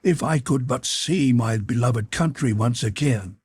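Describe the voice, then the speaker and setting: A man's voice: medium pitch, lively intonation, moderate pace, darkish tone, husky, no echo, studio quality, pitched above the performer's own voice. A man voicing a young captive woman in an audiobook, earnest and sorrowful, with formal old-fashioned phrasing. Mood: sorrowful, resigned. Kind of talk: audiobook character voice.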